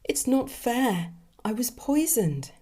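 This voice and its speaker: A young woman wronged in death, played by a woman storyteller, pitched high and full of indignant self-pity. Her protests swing dramatically, plaintive and shrill. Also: sing-song, takes it slowly, warm tone, husky, heavily acted. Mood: wailing, indignant. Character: young dead woman, indignant, self-pitying poisoning victim. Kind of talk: dramatic short story reading.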